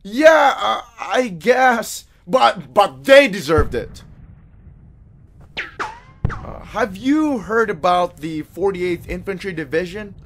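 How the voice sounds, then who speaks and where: A man's voice: high-pitched, lively intonation, takes it slowly, a bit bright, a little hiss or hum, full character voice. A man playing a petty, self-righteous, whiny army private in an audio drama, fussy and indignant. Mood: defensive. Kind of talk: radio drama acting.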